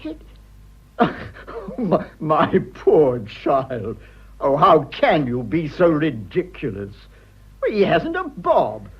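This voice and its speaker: An actor playing a prim, petulant middle-aged husband in a period radio drama, with an upper-class British accent and a fussy, spluttering, condescending delivery. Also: male voice, a little high, wildly swooping pitch, takes it slowly, breathy edge, lo-fi sound, exaggerated voice. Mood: scornful, petulant.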